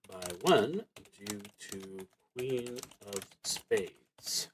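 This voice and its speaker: A man's voice: on the low side, fairly bright tone, a little hiss or hum, rough recording. A man live-streaming a solo tabletop roleplaying game, reading prompts and thinking aloud as he plays.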